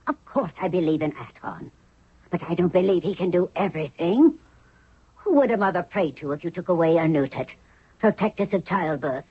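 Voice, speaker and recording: Older queen mother, lowish husky voice, stern, scolding, tinny vintage radio drama. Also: lively intonation, fairly quick. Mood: sceptical, reproving. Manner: stern, reproving. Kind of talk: 1970s radio drama acting. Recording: tinny narrow-band old radio recording.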